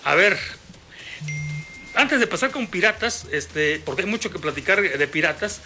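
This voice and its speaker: A man's voice: high voice, expressive, normal speed, bright, forceful tone, faint music behind. A man hosting a sports radio interview, chatty and rambling with many fillers.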